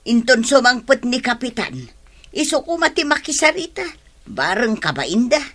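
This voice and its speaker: A woman's voice: a little high, sing-song, normal speed, a bit bright. A woman with an Ilocano accent playing an aggrieved, complaining villager in a comedy radio drama.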